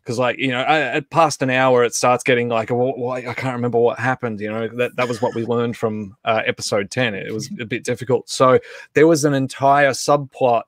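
A man's voice: mid-range voice, steady tone, brisk, dry recording, clean studio sound. A man hosting a casual livestream chat, talking in a rambling, conversational way. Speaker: host and co-creator. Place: indoor home setup on a group video call.

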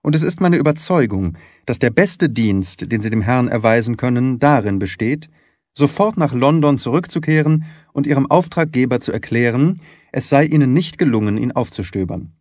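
A man voicing a stern, haughty gentleman doctor in an audiobook, formal, curt and dismissive. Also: mid-range voice, animated, normal speed, no room sound, clean studio sound, close to an everyday voice. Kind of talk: audiobook character reading.

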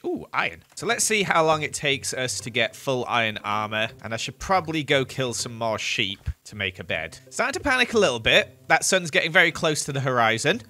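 A young man chatting through a gaming playthrough, jokey, with excitable outbursts. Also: medium pitch. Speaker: gaming youtuber. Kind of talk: playthrough commentary.

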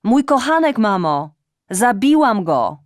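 A woman's voice: on the low side, lively intonation, slow pace, darkish tone, no room sound, clean studio sound. Woman as a desperate woman on the phone. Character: desperate unhappy woman in her forties.

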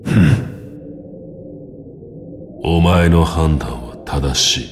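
Slow, deep, grim immortal warrior. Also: male voice, noisy background, full character voice. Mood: grave. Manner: stern, measured, formal. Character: grim, brooding immortal male warrior, stern and weary. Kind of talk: Japanese video game voice acting. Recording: dramatic music under the voice. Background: loud music.

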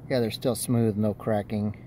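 A man giving a casual hands-on update as a video host, talking in an informal, halting, rambling way. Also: lowish voice, flat delivery, warm tone, slight background noise. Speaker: tech video maker, host.

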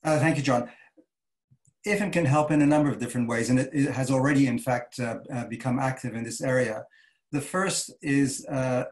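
An older man speaks from a study at home over a video call, laying out a financial mechanism in a measured, deliberate way. His pitch sits in the middle range, and he often repeats short words while he builds his argument. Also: fairly even intonation. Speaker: chair of a development finance board, interviewee. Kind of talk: measured expert explanation.

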